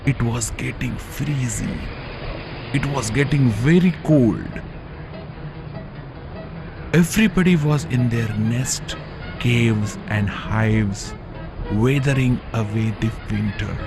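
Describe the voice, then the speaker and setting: A man narrating a children's story as a storyteller, in short phrases with dramatic pauses. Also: medium pitch, vocal fry, over music.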